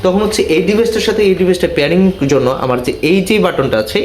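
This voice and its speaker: A man with a slightly high voice presents a casual how-to for his channel. He explains each step patiently and repeats himself as he goes. The sound is echoey and picked up from a distance, with clear background noise. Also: lively intonation, rapid-fire. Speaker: motorbike rider and video channel host. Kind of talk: casual spoken tutorial. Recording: echoey, distant mic, noisy ambience.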